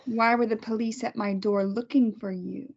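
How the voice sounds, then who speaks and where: A woman's voice: fairly high pitch, fairly even intonation, normal speed, vocal fry, darkish tone, highs cut off. A woman reading a cold, self-righteous captor at a table read, making clipped, controlled threats. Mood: menacing.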